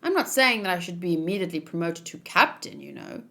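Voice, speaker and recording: A woman's voice: on the low side, expressive, fast talker, creaky, bright, forceful tone, full character voice. A weary young soldier from a manga, read aloud by a woman. He is cocky and oblivious, grumbling casually and sounding pleased with himself. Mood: oblivious, self-satisfied.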